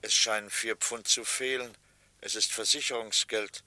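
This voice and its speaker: An actor playing an oddly detached, matter-of-fact bereaved husband in a 1960s German radio play. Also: male voice, lowish voice, fairly even intonation, moderate pace, pushed and bright, no room sound.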